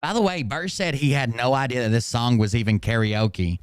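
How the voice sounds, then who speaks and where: A man's voice: mid-range voice, normal speed, smooth, no room sound. A man with a nasal voice hosting a livestream, giving casual reaction commentary with dry, teasing remarks. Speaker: livestream host.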